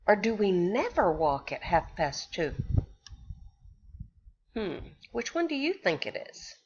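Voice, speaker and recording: A woman, a pre-K teacher, reading a picture book aloud to young children and pausing to ask them questions. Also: medium pitch, big pitch swings, dull audio, a little hiss or hum. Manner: slow, sing-song storytelling with questions to the children.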